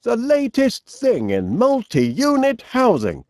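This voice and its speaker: An actor playing a cheery, absent-minded middle-aged inventor with a Northern English accent, bumbling and chatty in an animated comedy game. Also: male voice, extremely high pitch, slow, no echo, studio quality, exaggerated voice. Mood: genial musing. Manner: bumbling, chatty, hesitant, mild exclamations.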